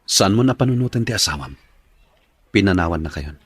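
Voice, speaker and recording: A man's voice: deep voice, expressive, brisk. A man playing an earnest, caring young office worker and suitor in an Ilocano radio drama, speaking expressively. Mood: concerned, tender.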